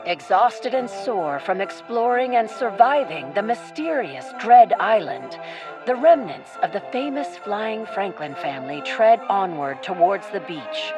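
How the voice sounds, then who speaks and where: A woman's voice: mid-range voice, sing-song, measured pace, low-quality recording. Woman narrating dramatically over music. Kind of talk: dramatic radio play narration.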